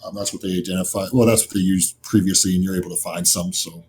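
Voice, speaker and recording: A man's voice: low-pitched, little pitch movement, quick pace, a little mellow, slight background noise. A man narrating as game master of a tabletop horror roleplaying game, describing scenes in a conversational, improvised way. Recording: music behind the voice. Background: music.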